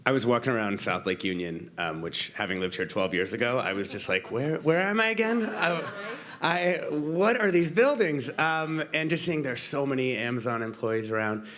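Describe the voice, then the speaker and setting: A man's voice: medium pitch, expressive, normal speed, fairly bright tone. An American man from Washington State conducting an on-stage interview in an informal, joking manner. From Washington State, born in Mexico City. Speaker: interviewer, staff writer.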